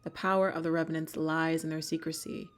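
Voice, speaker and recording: Woman acting as a low, measured, calculating schemer. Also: huge pitch swings. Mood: cold, menacing. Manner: measured, menacing, speaks in metaphors. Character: cold, calculating female schemer hunting a secret group. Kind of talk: scripted ASMR audio drama.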